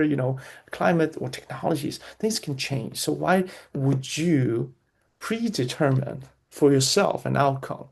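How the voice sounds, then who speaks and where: Guest voice: man, very gravelly and husky, earnest and reflective, circles back and repeats himself. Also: mid-range voice, a little mellow. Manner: earnest, repeats key phrases, frequent restarts. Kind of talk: reflective interview answers.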